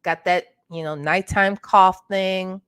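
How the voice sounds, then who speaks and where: A woman's voice: fairly low pitch, steady tone, slow pace, fairly bright tone, smooth. Chatty, congested woman livestream host. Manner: chatty, greets viewers by name. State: congested, clearing her throat. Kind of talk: casual livestream chat.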